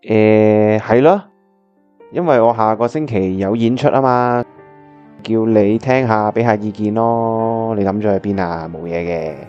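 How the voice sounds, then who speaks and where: A man's voice: lowish voice, a little slow, dark tone, clean tone, muffled recording, lightly acted. An actor with a Hong Kong accent playing a lovesick young male pianist, narrating a scripted radio drama in an earnest, melodramatic way. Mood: feigned casualness. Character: lovesick, heartbroken young male pianist hiding his feelings. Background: piano music.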